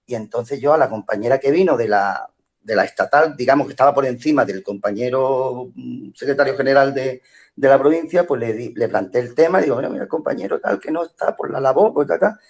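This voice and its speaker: A man from Spain speaking up in an online audio space, telling a union experience in an animated way with many restarts. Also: medium pitch, brisk, vocal fry. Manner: animated storytelling with repeated restarts. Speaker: union member caller. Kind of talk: casual call-in comment in an audio space.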